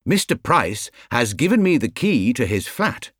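A man's voice: medium pitch, sing-song, a little slow, a bit bright, no echo, studio quality, voice pushed up. A British man voicing a small, polite, earnest young bear with an important-sounding manner in a storybook. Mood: self-important.

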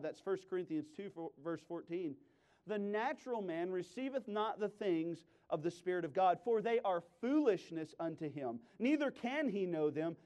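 A man preaching in an emphatic sermon style, with sudden loud exclamations and playful, self-deprecating humor. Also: high voice. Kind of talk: animated sermon with humorous storytelling.

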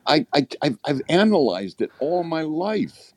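A man's voice: a little high, big pitch swings, darkish tone, no room sound. A man co-hosting a podcast chat, voicing blunt opinions and often restarting his sentences. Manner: opinionated, halting with repeated restarts. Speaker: podcast co-host. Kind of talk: casual panel discussion.

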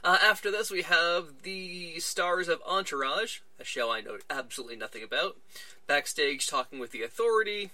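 Male podcast host, very bright tone, dry close mic, casual recap chat. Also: on the high side, animated, moderate pace, no echo. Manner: chatty, retelling events with little quoted voices.

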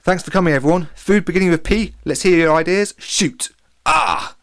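A man's voice: high-pitched, a little fast, dry recording, studio quality, voice pushed up, close to an everyday voice. A man voicing an earnest, easily flustered schoolboy leader in a children's story. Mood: eager, then shocked.

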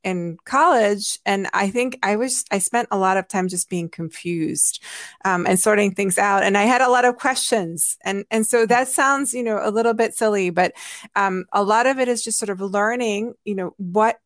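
A woman who grew up in Hungary, answering interview questions in a reflective way, often pausing with fillers and restarting her sentences. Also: medium pitch, no room sound, studio quality. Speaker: guest, anthropologist.

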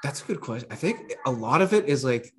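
A man chatting casually on a podcast, animated, laughing often and tripping over repeated words. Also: mid-range voice, fast talker, a little hiss or hum. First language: English. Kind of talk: casual chat.

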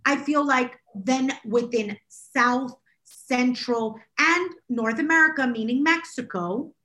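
A woman's voice: sits high, slow pace, pushed and bright. A woman co-hosting a casual podcast chat, talking in an emphatic, opinionated way. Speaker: podcast co-host.

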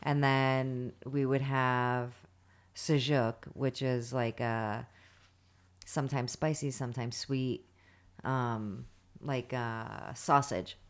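A woman's voice: extremely low pitch, fairly even intonation, takes it slowly. A young woman co-hosting a casual chat, reading out listener questions and teasing her partner.

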